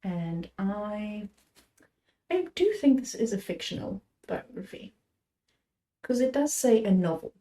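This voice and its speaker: Young female book vlogger, a bit hoarse and under the weather, mellow voice, loose rambling delivery. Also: medium pitch, lively intonation, takes it slowly, pauses often. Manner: rambling, chatty, self-correcting. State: slightly hoarse, a little sick. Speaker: book channel host. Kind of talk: casual chat about books.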